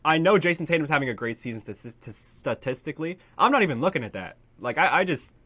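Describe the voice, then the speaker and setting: A man's voice: fairly high pitch, very animated, fast talker, pushed and bright, breathy edge, no echo. A young man co-hosting a sports podcast, talking casually with a nasal, congested voice from a cold. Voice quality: stuffy, nasal. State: congested, getting over a cold. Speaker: podcast co-host. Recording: faint background noise.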